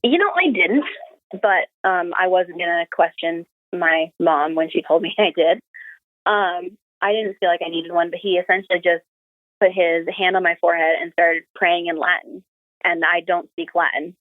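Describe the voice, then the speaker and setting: Young lady podcast guest chatting casually, lowish voice, sounds like she's on a phone call. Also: moderate pace, no room sound. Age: young adult. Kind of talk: casual personal storytelling. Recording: narrow phone-like call audio.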